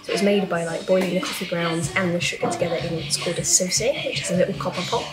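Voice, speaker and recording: A woman's voice: lowish voice, fast. A British woman in her twenties talking casually as a travel vlogger. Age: 20s. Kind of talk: casual travel vlog narration. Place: van cab, wooden cafe, cobbled bazaar street. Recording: music over voice. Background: music.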